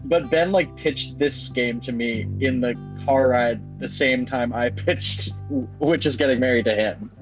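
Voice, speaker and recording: A man's voice: mid-range voice, a little slow. A man chatting casually in an interview as a game developer, on dull lo-fi audio. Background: guitar music.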